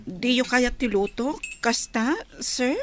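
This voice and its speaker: Babai nga agak-akto a nangato timek na a managbabain a balasang. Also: female voice, on the high side, takes it slowly, a bit bright, slight background noise. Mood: bashful, polite. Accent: Ilocano Filipino. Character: shy, modest young woman serving at a canteen. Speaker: actress in a radio drama. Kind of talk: Ilocano radio comedy drama acting.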